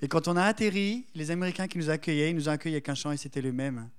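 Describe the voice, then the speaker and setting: Quick, earnest man speaking in church. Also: on the high side, smooth. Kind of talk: church testimony and blessing.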